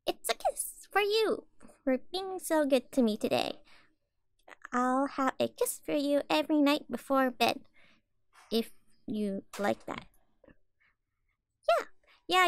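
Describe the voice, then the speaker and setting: A woman's voice: high voice, sing-song, slow pace, pauses often, no echo, studio quality, full character voice. A woman voicing a timid, sweet, childlike catgirl in a roleplay audio, hesitant and stammering, meek and eager to please. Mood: grateful, shy.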